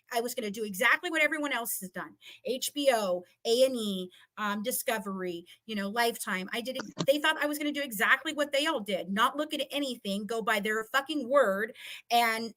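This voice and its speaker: A woman's voice: a little high, sharp tone. A woman giving heated, combative commentary in a casual live chat, repeating phrases for emphasis. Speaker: guest.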